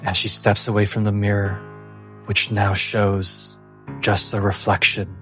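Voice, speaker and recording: A man narrating a tabletop fantasy game as game master, with deliberate, suspenseful phrasing and dramatic pauses. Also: on the low side, monotone, bright, forceful tone. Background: music.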